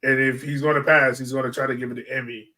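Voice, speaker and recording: A man with a medium-pitched voice talks as a podcast co-host. He gives sports analysis in an informal, conversational style. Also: level tone, fast talker, sharp tone.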